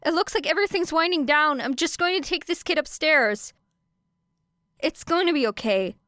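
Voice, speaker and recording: A woman voicing an awkward male police officer, halting and unsure as he tries to reassure a child. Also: high-pitched, lively intonation, a bit bright, no room sound, studio quality, voice pushed up. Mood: flustered, reassuring.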